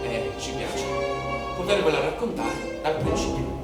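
A man's voice: fairly high pitch, very animated, a little slow, creaky. Echoey, distant man declaiming a theatrical monologue. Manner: declamatory, incantatory repetition; ironic, satirical. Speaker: stage actor and storyteller. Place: echoey performance space, music behind. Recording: echoey theatre, distant mic, music behind.

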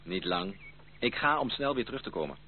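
Acteur als vasthoudende minnaar, levendige stem. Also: male voice, fairly low pitch, lively intonation, brisk, close to an everyday voice. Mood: earnest, affectionate. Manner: coaxing, earnest. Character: persistent, affectionate male cousin courting a stubborn woman. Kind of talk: period radio drama acting. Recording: muffled old radio play, faint hiss.

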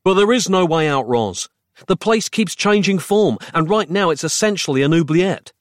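Man playing a high-strung, exasperated hero. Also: very animated, a little fast, no room sound, studio quality. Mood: wordy, urgent. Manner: melodramatic, over-explaining, urgent. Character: flayed, anguished male hero, wordy and exasperated.

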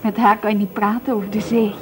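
An actress playing an earnest, loyal waitress who speaks plainly and a little hesitantly in a radio drama. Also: female voice, medium pitch, flat delivery, fast talker, close to an everyday voice, poor audio. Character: earnest, loyal waitress defending an absent friend. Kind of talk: naturalistic radio drama dialogue. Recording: busy crowd noise around the voice. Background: café chatter.

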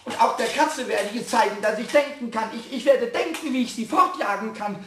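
A man playing a cheeky, childish little kobold in a German children's radio play, with a squeaky voice, boastful, easily offended and stammering when worked up. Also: brisk, a bit bright, echoey room, a little hiss or hum. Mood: grumbling, scheming.

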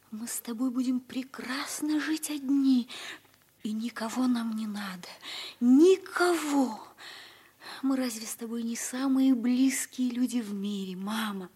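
A woman's voice: on the high side, darkish tone, breathy edge, heavily acted. An actress playing an earnest, tender, protective schoolgirl daughter in an old radio stage drama, with a pleading, theatrical delivery. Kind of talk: mid-century Soviet radio stage drama. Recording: old radio play recording.

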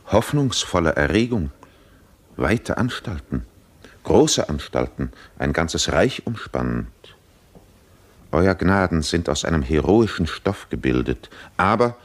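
Very deep, solemn physician. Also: male voice. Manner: grave, oratorical, measured phrases. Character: solemn, penetrating physician who reads faces. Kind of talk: theatrical radio drama acting.

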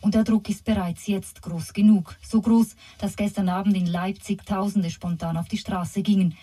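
An actress as a sober female news reporter, reading a scripted radio bulletin. Her voice is medium in pitch, dark and mellow, with a husky grain. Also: fairly even intonation, moderate pace, close to an everyday voice. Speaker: news reader.

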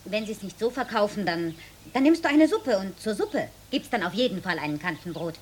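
An actress playing a worried, devout village mother who gives careful instructions in a radio drama, often in a hushed voice. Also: mid-range voice, lively intonation, a little fast, slight background noise, natural voice. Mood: anxious, urging. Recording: studio radio drama with clear background ambience.

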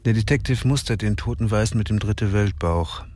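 A man narrating a crime radio play in a measured, dark manner. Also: fairly low pitch. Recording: music behind.

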